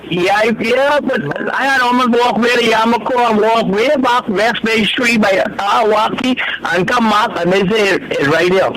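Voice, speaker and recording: Man, Bahamian accent, strikingly high voice, aggrieved caller, emphatic repetition, chatter behind. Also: normal speed, few pauses, rough recording. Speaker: caller to a radio phone-in. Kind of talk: informal spoken complaint.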